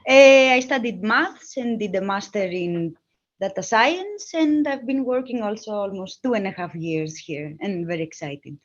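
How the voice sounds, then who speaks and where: A woman's voice: medium pitch, expressive, normal speed, lo-fi sound. A young woman introducing herself informally as a workshop co-instructor. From Greece.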